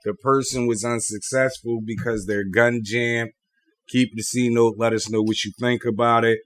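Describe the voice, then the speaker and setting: A man's voice: mid-range voice, flat delivery, normal speed. A man hosting a casual panel podcast, putting questions to his co-hosts and listeners in slangy, conversational speech. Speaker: podcast host.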